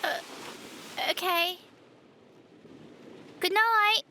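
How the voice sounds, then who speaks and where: A woman's voice: very high voice, steady tone, drawn-out words, background noise, full character voice. A woman playing a spirited, blunt and talkative young child in an audio adventure drama. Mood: concerned. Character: spirited, chatty young child on a pirate ship.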